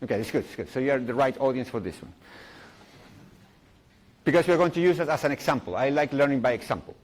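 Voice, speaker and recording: A man's voice: mid-range voice, expressive, fast talker. A middle-aged man from Chile, giving a playful live technical talk at a conference, full of jokes, fillers and restarts. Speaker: conference speaker. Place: conference room.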